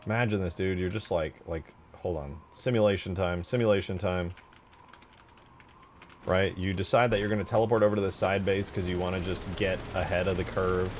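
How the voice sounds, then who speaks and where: A man's voice: low voice, steady tone, brisk, a little hiss or hum. A man giving casual, rambling commentary as a game modder, thinking aloud in slangy, informal speech. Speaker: game modder showing work in progress.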